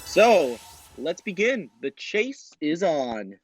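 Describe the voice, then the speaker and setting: A man's voice: fairly high pitch, sing-song, takes it slowly. A man hosting a quiz show, addressing contestants directly in a presenter's delivery. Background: background music.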